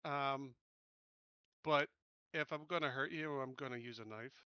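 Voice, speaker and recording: A man's voice: mid-range voice, unhurried, clear, resonant tone, no echo, muffled recording. A man chatting casually as a player in a tabletop game over voice chat.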